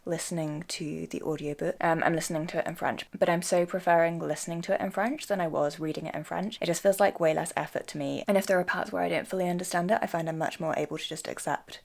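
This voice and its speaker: A woman's voice: fairly low pitch, fairly even intonation, fast talker, creaky, hardly any pauses. A young woman with an English accent chatting casually and enthusiastically to camera as a vlogger.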